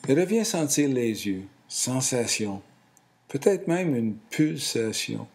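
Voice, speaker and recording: A man leading a guided relaxation in a calm, soothing and deliberate way, in short phrases. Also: medium pitch, gravelly. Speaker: guided meditation instructor.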